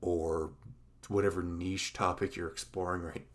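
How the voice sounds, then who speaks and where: A man acting a dorky, sentimental young best friend with a crush in an intimate ASMR roleplay, speaking haltingly in a rambling, self-conscious way with a gravelly creak. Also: low-pitched, little pitch movement. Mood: admiring, tender. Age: young adult.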